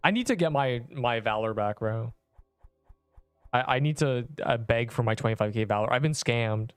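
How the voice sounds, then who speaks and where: A young man with a subtle Canadian accent chats loosely while streaming a game, trailing off and restarting his thoughts. His voice is smooth and clear, recorded dry and close. Faint music plays underneath. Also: medium pitch, moderate pace, dry recording. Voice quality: rambling, self-interrupting chatter. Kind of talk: casual live-stream chat while gaming.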